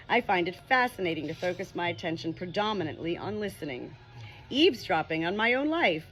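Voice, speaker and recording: A woman reading a meditation book aloud as scripted narration, in a measured, expressive way. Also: medium pitch, a little hiss or hum. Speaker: audiobook reader.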